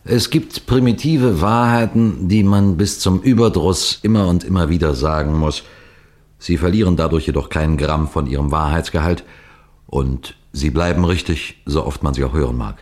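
An actor playing a seasoned, courteous detective superintendent who tells his case deliberately and instructively in the style of a 1960s German radio play. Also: a bass voice, darkish tone. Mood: measured, didactic. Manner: deliberate, didactic, addressing listeners formally.

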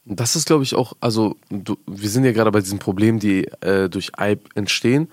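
A man's voice: fairly low pitch, brisk, dry recording. Young man chatting informally, dry close sound. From Afghanistan. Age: young adult. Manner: informal youth slang, frequent check-in questions. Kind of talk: casual conversational discussion.

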